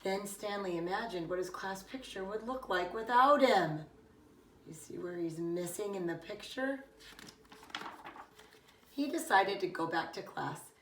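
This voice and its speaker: A middle-aged woman reads a children's story in a roomy, echoing space, a little away from the microphone. Her delivery is that of a preschool teacher, deliberate and expressive, with key words clearly stressed. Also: mid-range voice, slight background noise. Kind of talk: children's picture book read-aloud. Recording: echoey room, distant microphone.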